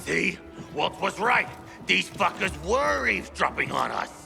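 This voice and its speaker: High, gravelly renegade goon. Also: male voice, very animated, a little slow, heavily acted. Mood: smug, hostile. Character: smug, crude male renegade goon. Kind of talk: video game villain acting. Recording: long reverb, music behind.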